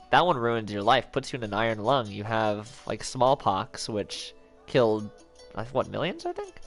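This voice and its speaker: Young man rambling with a head cold. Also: mid-range voice. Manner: rambling, self-interrupting, joking. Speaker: streamer. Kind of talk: casual livestream chat.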